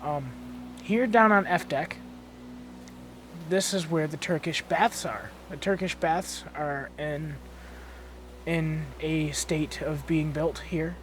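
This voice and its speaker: Young male host, a bit high, gravelly and hoarse, sleepy and casual, swears and fixes his slips. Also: slow, long pauses, a bit bright, slight background noise. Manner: stumbles and corrects own words. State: tired, just woke up. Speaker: channel host touring a build. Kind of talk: casual gameplay tour narration. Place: bedroom at home.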